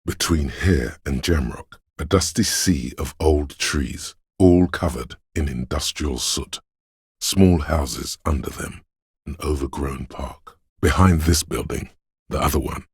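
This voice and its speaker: An actor playing a brooding, mystical inner voice that senses a city, narrating a game in solemn, measured, ominous poetic prose with a gravelly, husky voice. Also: male voice, very deep voice, no room sound, studio quality, heavily acted. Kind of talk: scripted literary game narration.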